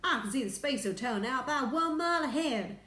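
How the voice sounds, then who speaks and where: A woman voicing a cheeky, excitable male astronaut in a storybook, brash and exclaiming. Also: high voice, fairly quick, roomy sound, pitched above the performer's own voice. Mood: excited.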